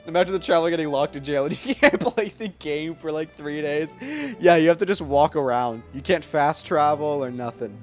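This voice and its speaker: A man's voice: on the high side, a little fast, few pauses. A man streaming a game with casual, jokey, slangy commentary. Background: game music.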